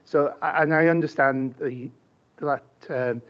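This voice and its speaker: A man's voice: fairly high pitch, fairly even intonation, unhurried, clean tone. A man, a councillor answering a question at a committee meeting, hesitant with many restarts. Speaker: cabinet member, councillor. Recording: dull lo-fi meeting audio.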